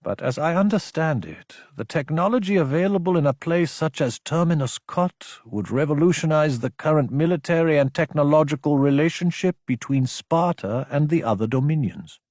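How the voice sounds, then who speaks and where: A man voicing a mild male astrophysicist with a formal, precise, measured way of speaking. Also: fairly high pitch, expressive, a little mellow, no echo, studio quality, voice pushed up, close to an everyday voice. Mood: hesitant, modest.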